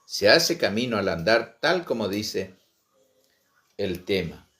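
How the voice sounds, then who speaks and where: A middle-aged man from Misiones, Argentina, telling his life story to online viewers with passion, slowly and with many pauses and fillers. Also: lowish voice, very animated, fairly bright tone. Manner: slow, passionate, pausing often with fillers. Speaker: Guaraní language and culture promoter.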